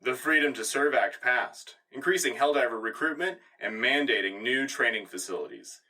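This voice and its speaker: A man's voice: medium pitch, animated, normal speed, pushed and bright, recorded from a distance, rough recording. A man playing an earnest radio news anchor of a future Earth, reading scripted satirical war news in a polished, official manner. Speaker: radio co-host.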